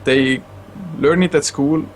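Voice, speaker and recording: A man's voice: fairly high pitch, steady tone, takes it slowly, slight background noise, rough recording. A man from Estonia with an Estonian accent, an esports caster answering interview questions in a hesitant, self-conscious way.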